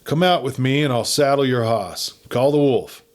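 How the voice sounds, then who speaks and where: A man acts out a bullying outlaw boss from a western storybook. He gives orders in rough, folksy cowboy talk and expects to be obeyed. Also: medium pitch, moderate pace, pitched above the performer's own voice, lightly acted. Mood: bossy, satisfied. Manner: commanding, folksy cowboy speech.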